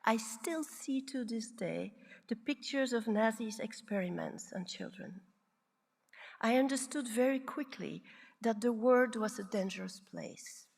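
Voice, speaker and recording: A woman in her sixties from Belgium, a psychiatrist giving a prepared, reflective conference talk with measured, deliberate phrasing. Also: a little high, vocal fry, in a large hall. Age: 60s. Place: large conference hall.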